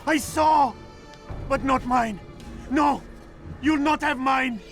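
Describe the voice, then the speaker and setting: A man's voice: very high voice, slow pace, fairly bright tone, faint music behind, exaggerated voice. An actor playing a frantic, desperate male patient in a video game, crying out in distrust and panic. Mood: frantic terror. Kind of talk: video game cutscene acting.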